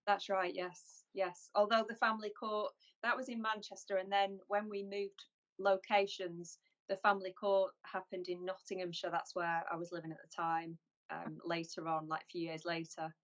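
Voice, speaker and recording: A woman from North West England, a guest on a video call interview, speaking in an earnest, measured way. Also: on the low side, little pitch movement. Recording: lo-fi call audio.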